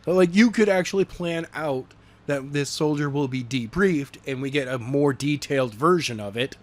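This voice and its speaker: A man co-hosting a podcast, reading chapters of a novel draft aloud and then chatting about them in an animated, joking way. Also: fairly high pitch. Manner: animated, jokey, quick to slip into character voices.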